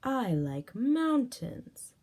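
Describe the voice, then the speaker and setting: Big enthusiastic storybook bird, played by a woman. Emphatic, very slow, lively melodic delivery. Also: fairly high pitch, voice pushed up, exaggerated voice. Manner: emphatic, drawn-out words. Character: big bird who loves big things, enthusiastic.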